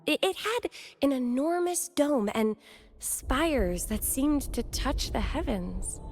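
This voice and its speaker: A woman playing an earnest, soft-hearted young woman in a video game, speaking a little hesitantly. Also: high-pitched, expressive, takes it slowly, faint music behind, heavily acted. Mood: wistful, dismayed. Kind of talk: scripted video game cutscene dialogue.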